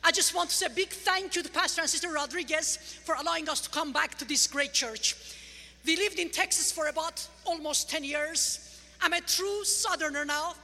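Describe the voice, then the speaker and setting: Armenian-accented woman guest speaker, very high and bright, gravelly edges, church hall reverb. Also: moderate pace. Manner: lively, humorous, teaching the crowd. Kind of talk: church testimony. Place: large church sanctuary. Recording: large church hall reverb with faint music.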